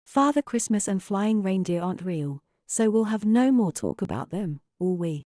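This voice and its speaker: Audio drama mother, played by a woman: skeptical, firm and measured, soft warm tone, close dry sound. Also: medium pitch, sing-song, dry recording, close to an everyday voice. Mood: leading, reasoning. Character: sensible, skeptical young English mother denying childhood magic.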